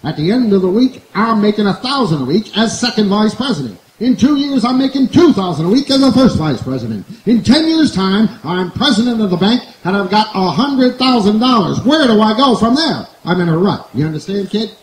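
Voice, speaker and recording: A man's voice: high voice, sing-song, fairly quick, hardly any pauses. A man playing a boastful, slick schemer boyfriend in an old-time radio comedy performed live. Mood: self-pitying, grandiose. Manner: boastful, slick, self-pitying patter. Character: cocky small-time schemer boyfriend, big-talking hustler. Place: outdoor woodland stage. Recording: echoey live outdoor recording, faint noise.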